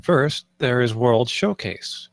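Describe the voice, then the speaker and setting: A man's voice: mid-range voice, expressive, slow, gravelly, dry recording, studio quality. A man playing an earnest, idealistic theme park designer in a screenplay table read, with a speechlike delivery. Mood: earnest, visionary.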